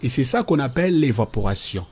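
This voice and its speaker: Husky man playing a patient cartoon father. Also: medium pitch, very animated, brisk, a little mellow. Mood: patient, instructive. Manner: didactic, explains definitions step by step. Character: patient, instructive father explaining nature and God to children.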